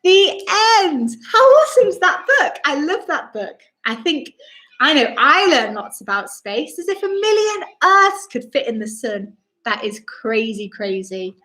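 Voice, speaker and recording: A woman's voice: sits high, big pitch swings, measured pace, a bit bright. A woman hosting a children's storytime, reading rhyming picture-book text aloud in a theatrical, exclaiming way and speaking directly to young listeners. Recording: roomy, echoing indoor sound.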